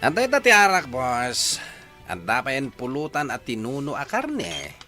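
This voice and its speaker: Loyal, familiar sidekick, TV drama; Ilocano accent, animated low-to-high delivery, punchy tone. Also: male voice, measured pace, faint music behind, full character voice. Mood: eager, loyal. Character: easygoing, deferential male drinking sidekick. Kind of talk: Ilocano television drama acting.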